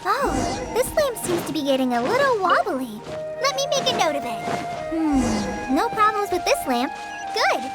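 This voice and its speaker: A woman's voice: very high-pitched, big pitch swings, unhurried, heavily acted. A woman voicing an earnest, eager young trainee forest ranger in a video game, with a light youthful voice. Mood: helpful. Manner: earnest, helpful, explanatory. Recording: music behind the voice.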